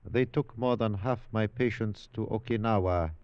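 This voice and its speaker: A man playing a calm, courteous Japanese village head man, formal and measured, in 1940s radio style. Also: lowish voice, clear, resonant tone, natural voice. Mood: matter-of-fact. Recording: dull old-time radio recording.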